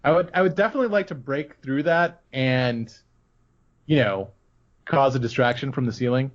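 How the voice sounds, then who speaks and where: A man's voice: mid-range voice, normal speed. Male tabletop player, mid-range voice, casual chat, slightly dull lo-fi audio.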